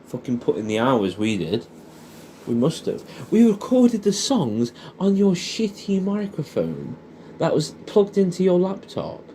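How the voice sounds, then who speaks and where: A man's voice: a little high, wildly swooping pitch, a little slow, dark tone, a little hiss or hum, poor audio. A man with an English accent, rambling and sweary in a casual, matey, intimate monologue as he reminisces to an old friend. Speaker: audio storyteller addressing an old friend.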